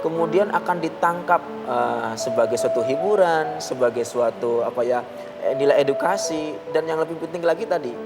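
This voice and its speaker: A man speaking as a psychology guest expert on a talk show, explaining in a measured way with pauses and hesitations. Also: a little high, over loud music.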